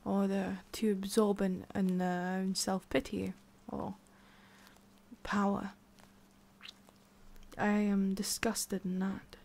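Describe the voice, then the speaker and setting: A woman playing a centuries-old forest witch in an ASMR roleplay, haughty but secretly soft, speaking in a halting, musing way with a prickly edge. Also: mid-range voice, completely flat delivery, gravelly. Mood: disdainful. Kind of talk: ASMR roleplay, addressing the listener.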